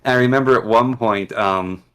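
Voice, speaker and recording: A man hosting a casual conversation, talking in a relaxed, reflective way. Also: mid-range voice, moderate pace, clear, resonant tone. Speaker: podcast host.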